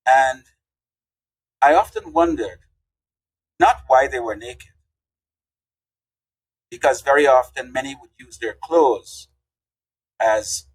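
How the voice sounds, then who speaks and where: A man's voice: medium pitch, slow pace, vocal fry, pauses often, breathy edge, poor audio. An older man, telling folksy stories as a podcast guest. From Guyana. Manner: animated, folksy storyteller. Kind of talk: casual interview storytelling.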